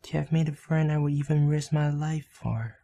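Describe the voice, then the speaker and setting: Man voicing a mellow, gentle young female goat. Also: a little high, normal speed, gravelly. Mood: tender. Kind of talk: emotional anime fan dub.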